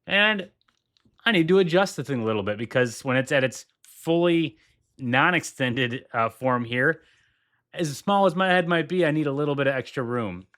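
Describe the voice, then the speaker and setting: A man's voice: on the high side, lively intonation, normal speed, a bit bright. Enthusiastic man demoing headphones. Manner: enthusiastic, repeats points for emphasis. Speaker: tech reviewer. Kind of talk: product demo.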